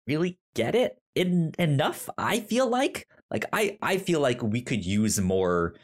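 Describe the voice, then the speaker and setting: Halting man with a lively, wandering pitch. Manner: thoughtful, halting, many restarts. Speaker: podcast co-host. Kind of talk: casual chat.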